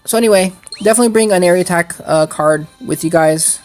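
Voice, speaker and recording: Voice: medium pitch, fast, a little mellow, faint music behind. A gameplay host giving casual, explanatory commentary with strategy tips.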